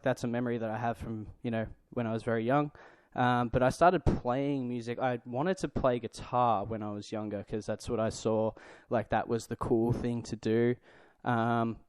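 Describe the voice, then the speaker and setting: Smooth, dry-sounding young Australian man. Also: mid-range voice, darkish tone, no echo. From Melbourne, Australia. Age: 20s. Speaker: drummer, podcast guest. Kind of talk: casual chat.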